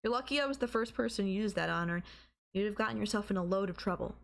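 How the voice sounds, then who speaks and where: A woman playing a doting, obsessive and calmly manipulative girlfriend in an intimate ASMR audio roleplay, coaxing and softly teasing. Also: mid-range voice, fast, creaky, close to an everyday voice. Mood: calm, probing. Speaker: voice actor.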